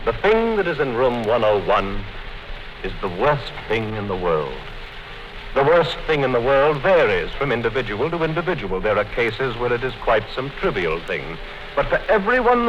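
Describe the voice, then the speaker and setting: Man doing a calm menacing interrogator, swoopy delivery, muffled old radio with hiss. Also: mid-range voice, lightly acted. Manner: calm, measured, coldly menacing. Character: cold menacing male interrogator. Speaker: O'Brien. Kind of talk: 1950s radio drama acting. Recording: dull noisy 1950s radio broadcast.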